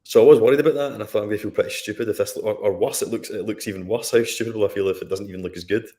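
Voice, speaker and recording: A low-voiced Scottish man who is a guest on a film podcast. He tells his story conversationally and drifts into side remarks along the way. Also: fairly even intonation, very fast, dark tone. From Scotland. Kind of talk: casual chat on a live stream.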